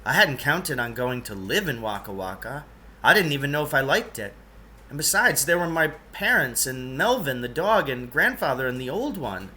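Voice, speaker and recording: A man's voice: mid-range voice, big pitch swings, moderate pace, bright, forceful tone. A man reading a children's novel aloud as narration.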